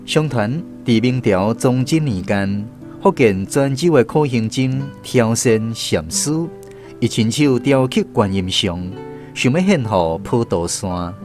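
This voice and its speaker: Guy narrating a radio drama in taiwanese hokkien, lively storyteller delivery, soft guitar behind him. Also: medium pitch, unhurried.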